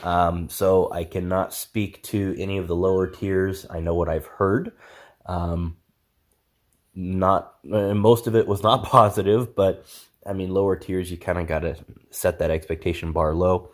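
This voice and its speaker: A young man with a congested, nasal voice casually talking through a game build, with lots of ums and a relaxed, self-deprecating manner. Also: low-pitched, a little slow. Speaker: gaming channel host. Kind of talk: casual game review commentary.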